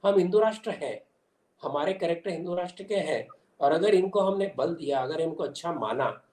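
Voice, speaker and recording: An older man speaks at a slightly raised pitch. He explains his political views emphatically and drops in English terms. The sound is an echoey room over a video call. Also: fairly quick, a little mellow. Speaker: interview guest, commentator. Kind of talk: explanatory political interview answer. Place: small indoor room at home. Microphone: video call device.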